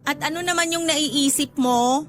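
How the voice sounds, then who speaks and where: High-voiced, teasing young woman. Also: normal speed, fairly bright tone, slight background noise. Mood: bashful, fussing. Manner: playful, teasing, laughing. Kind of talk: Filipino radio drama acting.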